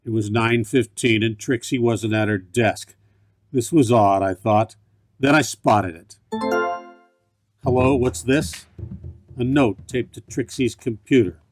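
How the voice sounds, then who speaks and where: A man playing a deadpan, wisecracking noir private eye in a campy radio play. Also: mid-range voice, slow pace, pauses often, soft background music, lightly acted. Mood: pondering. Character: wry, hardboiled film noir private detective.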